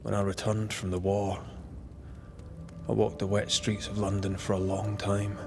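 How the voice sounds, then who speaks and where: A brooding, war-scarred man talks in a lowish, very slow voice. He is grave and halting, a tormented lover murmuring over music in a dramatic game. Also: completely flat delivery, gravelly. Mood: haunted. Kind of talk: dramatic video game dialogue. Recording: music under the voice.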